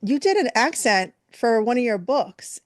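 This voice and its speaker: A podcast host from Guyana whose speech carries a New York accent. Her voice sits a little higher than most women's, and she asks questions in a friendly, easygoing way. The recording is dry and close. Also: animated, unhurried, no room sound. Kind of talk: casual podcast interview.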